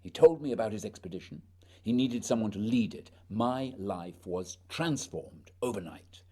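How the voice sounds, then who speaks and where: A man's voice: on the low side, animated, normal speed, mellow, husky. A man playing a proud, determined naval explorer in a radio play, speaking earnestly and dramatically, often questioning. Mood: proud, recollecting.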